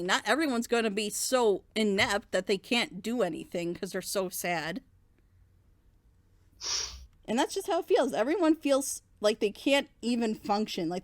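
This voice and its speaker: Higher-pitched woman, emphatic and critical. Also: no echo. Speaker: reaction show panelist. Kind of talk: opinionated casual review discussion.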